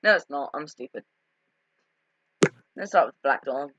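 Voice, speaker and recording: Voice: medium pitch, expressive, unhurried, very noisy. A casual, unscripted game commentator who talks hesitantly, often correcting and putting themselves down. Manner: hesitant, self-correcting, self-deprecating. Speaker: video creator commentating on game cutscenes. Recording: slightly dull lo-fi home mic.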